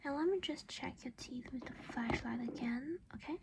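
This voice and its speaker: A woman whispering breathily and close to the microphone in a gentle, reassuring ASMR roleplay as a caring, protective vampire mother. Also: high-pitched, moderate pace, noisy background.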